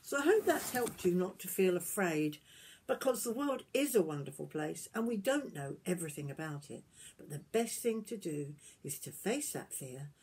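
A woman in her sixties reads a picture book aloud as a granny would to young children, adding gentle questions and asides to them. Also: fairly low pitch, very animated, moderate pace, slightly rough, low-quality recording. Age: 60s. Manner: gentle questioning, addressing young listeners directly. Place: small wood-panelled garden room, indoors.

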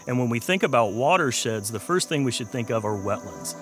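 A man in his forties with an American accent, explaining research in a measured way as a wildlife scientist in a documentary interview. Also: mid-range voice, animated. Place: outdoors in a wooded swamp. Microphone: lapel mic. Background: music, insects.

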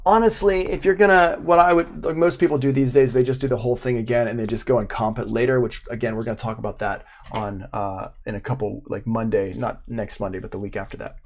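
A man's voice: mid-range voice, fairly even intonation, quick pace, hardly any pauses. A man in his forties giving a casual live tutorial as an instructor, explaining in an informal, enthusiastic way. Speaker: audio production instructor. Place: small room at home, indoors.

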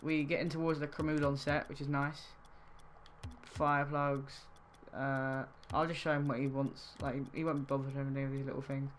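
A man's voice: medium pitch, little pitch movement, normal speed, a little hiss or hum. A young man from Wales streaming a game trading session, chatting casually and reacting to his live chat.

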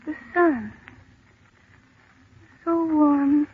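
A woman's voice: high-pitched, extremely slow. An actress playing a dazed, childlike woman lost in serene delusion, speaking dreamily in old-time radio drama style. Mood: dreamy, vacant. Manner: dreamy, vacant, lingering. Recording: thin, phone-like old radio recording.

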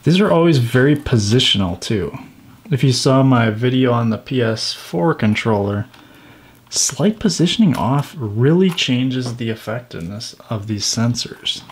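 A man's voice: mid-range voice, animated, measured pace, husky. Man narrating a DIY repair tutorial. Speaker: video host.

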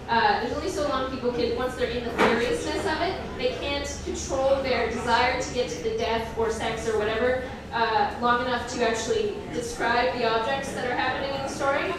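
A woman performing a live comedic reading, with wry, mocking, conversational asides to her audience. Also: on the high side. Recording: echoey room, distant mic, audience sounds.

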